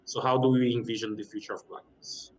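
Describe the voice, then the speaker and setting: A man's voice: medium pitch, level tone, a little fast, a little mellow, slight background noise, rough recording. A man moderating a panel discussion, briefly affirming speakers and posing questions.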